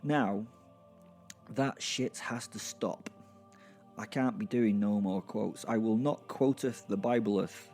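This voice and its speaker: A man's voice: lowish voice, takes it slowly, a little mellow, soft background music. A man playing an irreverent, foul-mouthed werewolf who narrates an audio drama with hesitant, rambling, wry asides. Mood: exasperated, wry. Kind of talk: first-person horror comedy audio drama narration.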